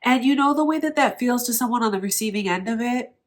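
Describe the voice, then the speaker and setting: A woman's voice: on the high side, expressive, fast. Nasal-sounding woman reading tarot. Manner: speaks directly to the viewer, earnest and musing. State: nasal from allergies. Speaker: tarot reader. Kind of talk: tarot card reading for viewers.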